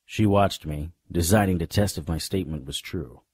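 A man playing a cocky, world-weary paranormal investigator, narrating a noir radio drama in a wry, deadpan way. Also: low voice, moderate pace, breathy edge, no echo, clean studio sound. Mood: wry, cool.

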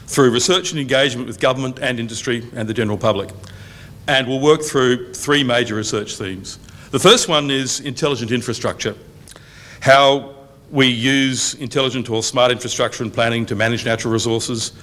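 A man's voice: mid-range voice, fairly bright tone. Middle-aged man giving a formal welcome. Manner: measured, reads out long lists evenly. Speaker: university dean opening a public event. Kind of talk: formal welcome speech at a lectern. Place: university function room, indoors, medium size. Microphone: lectern microphone on a boom stand.

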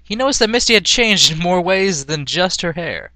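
A man reads a story aloud in a theatrical narrator's style. His voice is fairly high and bright, with very lively intonation. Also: moderate pace, dry recording. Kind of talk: dramatic storybook-style reading of fan fiction.

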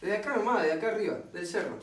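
A man's voice: high-pitched, fast talker, fairly bright tone. Man as curious Argentine conscript, echoey room. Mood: plain, inquisitive. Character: curious young male conscript, newly arrived at a war dugout. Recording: echoey distant room, faint noise.

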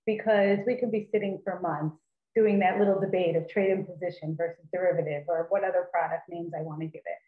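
A woman's voice: on the low side, steady tone, fast. A woman in her forties explaining a work approach on a video call, earnest and given to repeating points for emphasis. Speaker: data leader panelist. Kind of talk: conference panel talk on video call. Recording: tinny, echoey video call audio.

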